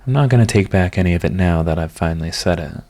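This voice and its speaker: Casting: man to act lovestruck villain for romantic audio roleplay; deep register, tender under the pride, confessional, easily flustered. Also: fast, a little mellow. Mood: earnest, defiant.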